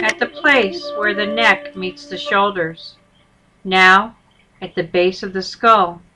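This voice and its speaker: A woman teacher narrating a guided meditation with measured, instructional delivery. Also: mid-range voice, poor audio. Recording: loud music under the voice. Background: loud instrumental music.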